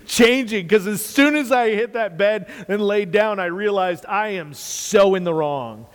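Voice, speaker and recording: Loud-voiced earnest man in reverberant room. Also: high voice, measured pace, clear, resonant tone. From United States. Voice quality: loud, carrying voice. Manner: earnest, repeats phrases for emphasis. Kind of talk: casual faith talk.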